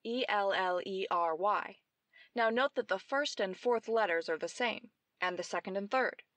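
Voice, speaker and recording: A brilliant, self-assured young woman detective played by an actress in an old-time radio mystery. She explains each clue precisely and theatrically, in a mid-pitched voice. The sound is close and dry. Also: moderate pace, fairly bright tone, no echo, lightly acted. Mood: patient, instructive.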